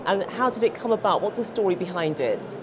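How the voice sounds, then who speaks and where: A woman's voice: mid-range voice, lively intonation, a little fast. A middle-aged woman presenting a live interview in an articulate, polished broadcast manner. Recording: clear outdoor-like traffic noise behind.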